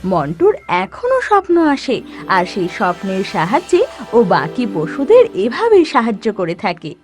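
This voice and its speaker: A woman narrating an animated children's story in an expressive, dramatic storytelling manner. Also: medium pitch, unhurried, faint music behind.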